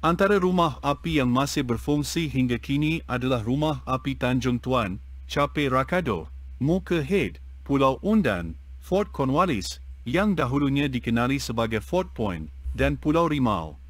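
A man reading an educational text aloud in a measured, even way. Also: medium pitch, no echo.

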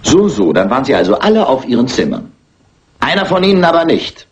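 Police inspector, male: mid-pitched, lively intonation, stern, authoritative. Crime-film dub. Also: brisk. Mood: stern, insistent. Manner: authoritative, clipped.

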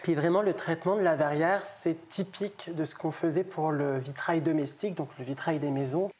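A man's voice: fairly high pitch, brisk, a little mellow, slight background noise. A young man, an art historian, describing stained glass in an enthusiastic, conversational way with fillers, in a small chapel. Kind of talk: conversational expert commentary. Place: small wood-paneled chapel, indoors.

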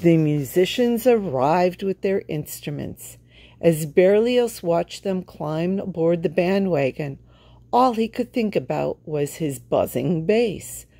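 Low-pitched, unhurried woman reading a storybook. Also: animated, creaky, mellow, no room sound. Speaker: storytime reader. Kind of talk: children's picture-book read-aloud.

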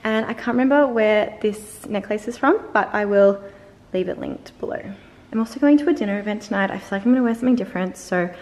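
A chatty Australian woman in her twenties vlogging casually to camera with a croaky voice, sometimes dropping to a soft whisper. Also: medium pitch, quick pace. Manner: chatty, bubbly, often drops to a soft whisper. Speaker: lifestyle vlogger. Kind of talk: casual vlog chat to camera. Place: bright open-plan apartment, indoors.

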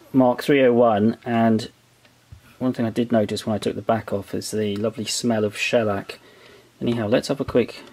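A man with a low, gravelly voice talks through the controls of an old device at his workbench. He explains each part step by step in a relaxed way, thinking aloud as he goes. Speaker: electronics restorer. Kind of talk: hands-on show-and-tell. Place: workbench indoors.

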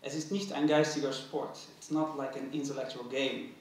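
A man's voice: medium pitch, flat delivery, moderate pace. Dutch-accented man in a very echoey hall. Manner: thoughtful, hesitant, many fillers and restarts. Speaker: curator responding to a lecture. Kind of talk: academic talk. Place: large museum auditorium. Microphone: stand mic at table. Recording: very echoey hall, mic from a distance.

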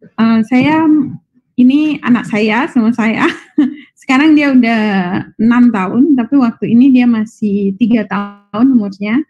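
A woman's voice: fairly high pitch, a little slow. A woman with an Indonesian accent giving an informal, somewhat hesitant lecture over a video call. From Malang, Indonesia. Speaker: guest lecturer, university professor. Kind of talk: informal, improvised online lecture. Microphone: video call microphone. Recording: slightly dull video call audio.

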